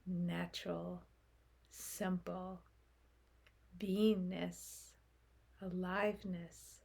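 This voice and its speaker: A woman leading a guided meditation in a soft, breathy voice, speaking gently in short phrases with long pauses. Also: fairly low pitch, level tone. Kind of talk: guided meditation, slow unfolding instruction.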